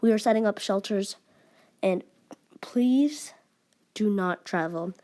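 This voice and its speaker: A woman giving mock emergency news announcements in a formal but halting, amateur way. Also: medium pitch, mellow.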